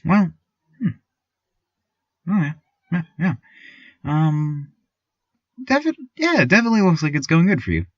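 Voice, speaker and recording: A man's voice: a little high, wildly swooping pitch, measured pace, long pauses, dry recording, muffled recording, full character voice. A man playing a cheery, doting small-town radio host in an eerie comedic drama, with a gushing, folksy, theatrical manner and stammering asides. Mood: attentive, reacting. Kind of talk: scripted comedic radio monologue, community news parody.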